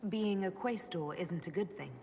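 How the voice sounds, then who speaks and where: A woman's voice: lowish voice, normal speed, warm tone, close to an everyday voice. An actress playing a frank, inquisitive adventurer woman in a video game, asking direct, probing questions with composure. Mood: curious. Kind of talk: video game dialogue acting. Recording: reverberant hall with ambient noise.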